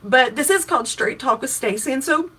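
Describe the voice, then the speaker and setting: A woman's voice: high-pitched, lively intonation, a little fast, pushed and bright, slightly rough. A woman in her fifties, a political candidate from Kentucky, explaining voting options and answering viewer questions in a casual, conversational livestream with frequent restarts. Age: 50s.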